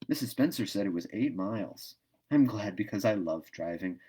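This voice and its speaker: A man's voice: fairly low pitch, expressive, normal speed, mellow, slightly rough. A man voicing a talkative, imaginative eleven-year-old orphan girl in a storybook, earnest and eager. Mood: cheerful, chatty. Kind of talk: storybook read-aloud.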